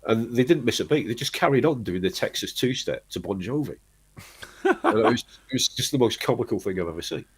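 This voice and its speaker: A middle-aged man from Manchester, telling long rambling stories from his life in a relaxed chat, with lots of restarts. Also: medium pitch, fairly quick, breathy edge. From Manchester, England. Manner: rambling storyteller, restarts often, chuckles. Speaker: guest, band singer. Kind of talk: casual interview chat.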